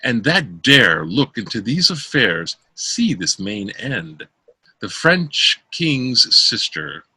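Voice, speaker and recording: Man, husky, bright forceful tone, slow, theatrical amateur play reading, declaimed verse. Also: mid-range voice, big pitch swings, no room sound. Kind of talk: amateur read-aloud of Shakespeare verse drama. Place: close dry room, online call.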